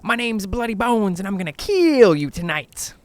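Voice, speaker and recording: A man's voice: sits high, big pitch swings, moderate pace, pitched above the performer's own voice. A man voicing a menacing, taunting boy who mimics a campfire bogeyman killer in a spoken story.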